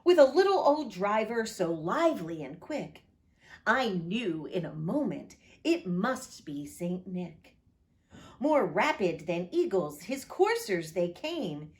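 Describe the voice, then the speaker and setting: Slow, expressive woman pastor reading aloud. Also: mid-range voice. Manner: expressive, lilting read-aloud delivery; friendly direct address to listeners.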